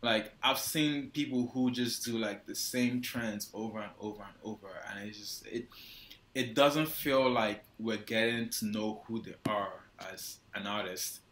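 Young Nigerian man, bright roomy voice. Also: fairly low pitch, steady tone, unhurried. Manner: loose and hesitant, heavy use of "like" and restarts. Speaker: guest photographer. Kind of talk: casual interview answers. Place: small indoor room. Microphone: wired earphone mic on a video call. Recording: roomy echo.

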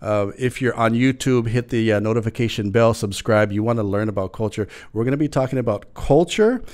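A man's voice: on the low side, a little fast, a little mellow. A man, hosting a podcast interview in a small studio at a mic, talking animatedly with many restarts. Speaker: podcast host. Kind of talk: casual interview chat. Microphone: studio mic with headphones.

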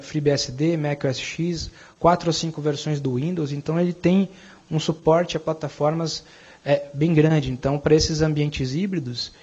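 A man with a Brazilian Portuguese accent giving a conversational conference tech talk, often checking in with the audience with quick tag questions. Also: a little high, darkish tone, husky. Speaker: technical speaker. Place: conference room. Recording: dull lo-fi conference recording.

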